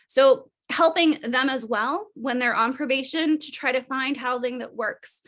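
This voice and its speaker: Woman, high voice, measured advocacy, panel speaker at a webinar. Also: fairly bright tone. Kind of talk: webinar presentation.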